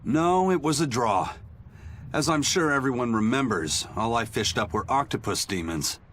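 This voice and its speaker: A man's voice: medium pitch, expressive, moderate pace, a bit bright, a little hiss or hum, close to an everyday voice. A man playing a stubborn, matter-of-fact character in an anime-style video game, speaking precisely and insistently.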